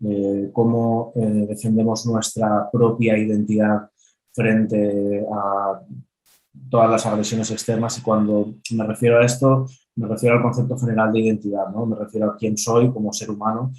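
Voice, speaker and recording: Low, flat-voiced man. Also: slight background noise, poor audio. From Valencian Country, Spain. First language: Valencian. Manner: measured, thoughtful, frequent hesitant "eh" fillers. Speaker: film director, guest. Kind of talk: reflective interview answer.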